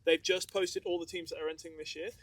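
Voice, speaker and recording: A man's voice: on the high side, flat delivery, quick pace, fairly bright tone. A man co-hosting a podcast, chatting casually and rambling with lots of ums. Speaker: podcast co-host. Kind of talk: casual group chat. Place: outdoors in the desert.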